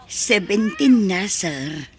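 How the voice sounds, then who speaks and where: A woman acts out a humble middle-aged mother in an audio drama. She speaks slowly, with a pleading, sighing tenderness. The recording carries faint noise. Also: mid-range voice, big pitch swings, a little mellow.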